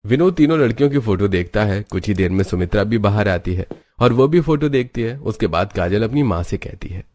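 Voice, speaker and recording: Male narrator, low register, scripted moral-tale storytelling. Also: expressive, fairly quick, a little mellow, slight background noise.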